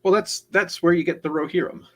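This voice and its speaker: A man on a book club panel, explaining in a halting way with many restarts and checks of "right?". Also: high voice, crowd noise. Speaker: co-host and panelist. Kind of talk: casual online book discussion.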